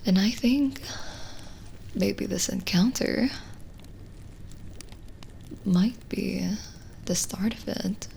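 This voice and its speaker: A woman playing a lonely, gentle witch in an ASMR roleplay, speaking softly and soothingly, often slipping into a whisper. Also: medium pitch, vocal fry, a little hiss or hum, heavily acted. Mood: hopeful, tender. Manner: slow, hushed, soothing, with pauses. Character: lonely, gentle witch reading a guest's palm. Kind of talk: soft-spoken ASMR roleplay, partly whispered.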